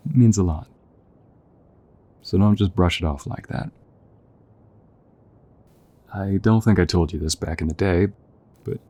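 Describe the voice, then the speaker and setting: Man acting a deep, husky young soldier, affectionate. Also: full character voice. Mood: sincere, affectionate. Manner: gentle, affectionate, hesitant, chuckling conversational delivery. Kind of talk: intimate audio roleplay acting.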